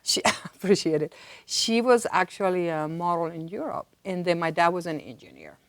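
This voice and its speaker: A woman from Spain speaks as a guest in a casual interview. Her pitch is a little low. The sound is dry and close, as in a studio. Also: expressive, moderate pace, no echo. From Madrid, Spain. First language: Spanish. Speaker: interview guest, university director.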